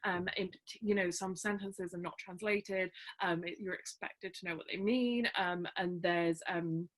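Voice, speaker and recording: A woman's voice: lowish voice, steady tone, moderate pace. A young woman talks casually about literature, hesitantly searching for her words. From South Wales, on the border.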